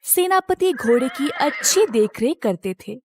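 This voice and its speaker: A woman's voice: high voice, expressive, unhurried, husky, no room sound. A woman narrating a scripted moral story for children as a storyteller.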